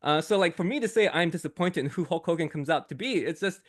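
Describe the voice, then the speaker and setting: A man who is a guest on a podcast, talking in a casual, animated way and laughing often. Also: fairly high pitch, fast.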